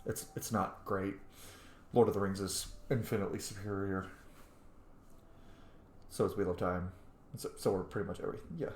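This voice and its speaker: A man's voice: low voice, steady tone, normal speed, long pauses. A man giving casual, chatty gameplay commentary as a streamer, opinionated and free with swearing. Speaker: gaming streamer. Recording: echoey room, faint background noise.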